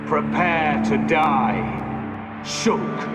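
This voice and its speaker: A man's voice: fairly high pitch, expressive. A man playing a threatening, vengeful villain in a video game cutscene. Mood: menacing. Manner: slow, threatening. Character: menacing, vengeful male villain. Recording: game music behind the voice. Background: music.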